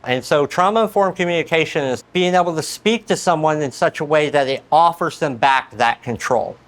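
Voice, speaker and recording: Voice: mid-range voice, normal speed. A male-sounding program manager giving instructional talk and coaching as a trainer.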